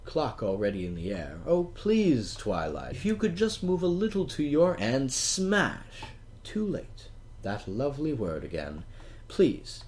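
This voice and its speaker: A man's voice: lowish voice, a little mellow, a little hiss or hum. A man reading an audiobook in a theatrical, wry and conversational way, as if addressing the listener directly. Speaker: audiobook narrator. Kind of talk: dramatic first-person audiobook narration, conversational asides.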